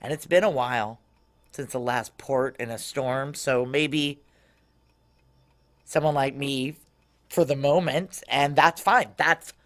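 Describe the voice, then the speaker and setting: A woman's voice: extremely low pitch, slow pace, long pauses. Tough woman veteran, sardonic, blunt, profane, self-mocking, intimate noir audio drama delivery. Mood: guarded, resigned. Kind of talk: audio drama acting with intimate first-person narration.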